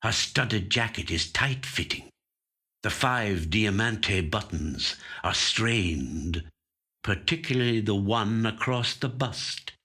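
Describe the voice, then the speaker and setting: A man reading a literary short story as a trained actor, with measured, deliberate, dramatic phrasing into a studio microphone. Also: on the low side, expressive, bright, forceful tone, husky. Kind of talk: scripted literary narration.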